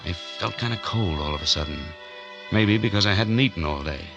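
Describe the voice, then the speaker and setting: A man's voice: deep voice, fairly quick, lo-fi sound. An actor playing a broke, wry drifter who tells his story in laconic hard-boiled 1940s radio noir style. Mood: brooding narration. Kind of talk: 1940s radio noir acting and first-person narration. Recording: vintage 1940s radio recording with music behind.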